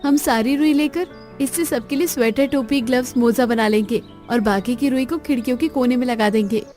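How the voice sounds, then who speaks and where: A woman's voice: high voice, brisk, a little mellow, faint music behind, lightly acted. A woman voicing a resourceful, caring young daughter-in-law in a cartoon story. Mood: brisk, practical.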